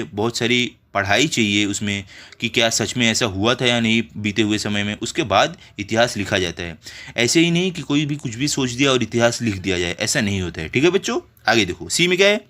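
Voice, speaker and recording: A man's voice: mid-range voice, sharp tone. A man teaching children, walking through exercise questions step by step and often checking in with his pupils.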